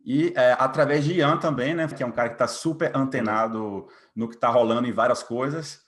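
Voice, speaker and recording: A man's voice: mid-range voice, a little fast. A man in his late twenties with a Bahian Brazilian accent, telling his story informally as an interview guest, often adding little tag questions. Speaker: interview guest, software developer. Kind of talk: casual interview, personal story.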